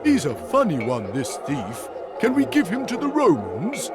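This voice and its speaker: Big dopey innocent warrior, really singsong up and down, highish voice, cartoon game. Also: male voice, wildly swooping pitch, measured pace, over music, exaggerated voice. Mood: naive, cheerful. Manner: naive, guileless. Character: big, childlike, simple-hearted male Gaulish strongman. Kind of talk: animated cartoon game voice acting.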